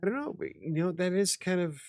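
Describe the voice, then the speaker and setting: A man hosting a casual after-hours chat show, rambling, laughing often and swearing now and then, with a slightly raspy voice. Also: high-pitched, expressive, fast, a little mellow, no room sound, studio quality. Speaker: host.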